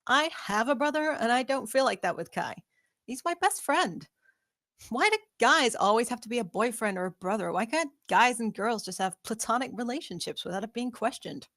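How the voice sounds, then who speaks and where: A woman's voice: a little high, expressive, normal speed, a bit bright, dry recording, clean studio sound. A woman playing a sardonic, teasing young woman at a screenplay table read. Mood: exasperated.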